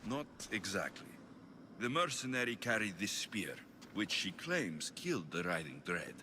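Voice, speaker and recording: A man's voice: lowish voice, very animated, a little slow, noisy background. A man playing a brave, blunt mercenary hero in a video game, resolute and direct. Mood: earnest. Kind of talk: dramatic video game acting.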